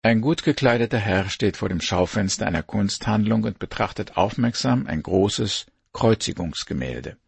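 A man reading a devotional story aloud in a measured, earnest way. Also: on the low side, animated, gravelly, slightly rough, dry recording. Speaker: devotional reader.

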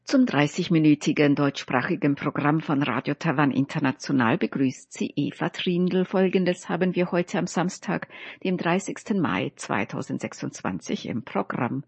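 A woman's voice: sits low, expressive, normal speed, gravelly, few pauses, slightly rough, no echo. A woman hosting a radio programme, reading a scripted announcement of what is coming up. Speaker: radio host.

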